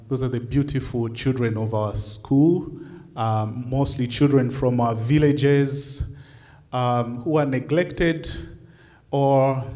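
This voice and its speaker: A middle-aged man from Zambia, a bishop, giving a measured conference talk from a lecture hall podium, pausing often with uh and um. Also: medium pitch, darkish tone. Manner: measured, with frequent uh and um pauses. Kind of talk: slow lecture with slides. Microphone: podium microphone.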